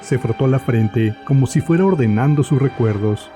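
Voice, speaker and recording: A man narrates a horror tale in a scripted, literary style. His voice sits at a middle pitch, with music clearly playing behind him. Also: normal speed.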